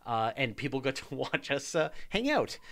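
Host: Canadian man, mid-pitched, laid-back, fields audience questions. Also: normal speed. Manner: relaxed, reads out viewer questions. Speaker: podcast host. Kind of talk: casual live Q&A chat.

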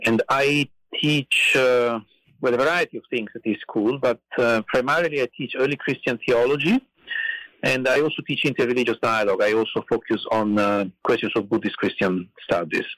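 Middle-aged Italian-accented man, dry studio sound, halting storyteller. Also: medium pitch, fairly even intonation, fairly bright tone, no room sound, rough recording. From Italy. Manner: hesitant, many "uh" and "you know" fillers. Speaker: guest, theology professor. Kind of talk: podcast interview storytelling.

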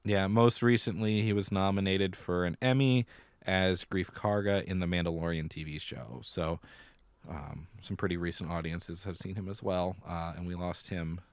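A man's voice: low-pitched, fairly even intonation, moderate pace, clear, resonant tone, no echo. A man co-hosting a podcast, chatting casually and conversationally, often repeating a phrase for emphasis. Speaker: podcast co-host. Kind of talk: casual chat about musical theater and pop culture.

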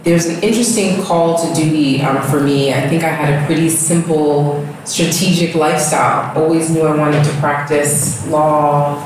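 A woman's voice: sits low, fairly even intonation, moderate pace, few pauses, a little mellow. A woman raised in the US, talking reflectively about her life as a guest in an interview at home, with fillers as she goes. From Ghana, raised in the US. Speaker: guest, yoga studio founder. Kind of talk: casual interview talk. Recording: echoey room, distant mic, background noise.

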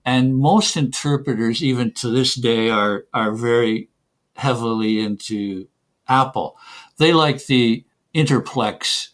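A middle-aged man who grew up in Canada talks through his answers in a relaxed interview. He often stops, repeats a word and starts again, and drops in small chuckles between points. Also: medium pitch, takes it slowly. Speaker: software developer guest.

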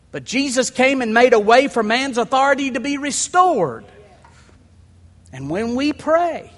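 High, bright-voiced preacher man in a hall. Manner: builds to shouting crescendos, then drops low; folksy, humorous asides. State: sweating and worked up. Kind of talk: sermon. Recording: long hall reverb.

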